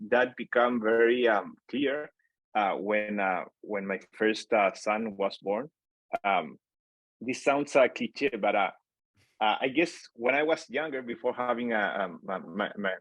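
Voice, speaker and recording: Halting Chilean-born man, low voice. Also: no room sound, highs cut off. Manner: halting, many fillers and repeated words. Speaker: company founder, guest. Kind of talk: casual interview storytelling.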